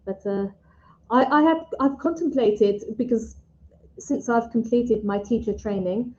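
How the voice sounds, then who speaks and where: A woman's voice: on the high side, unhurried, warm tone. A British woman tutoring English in a casual online chat, speaking in simple, encouraging, teacherly phrases over a muffled call. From Britain. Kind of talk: casual one-to-one lesson chat. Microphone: video call.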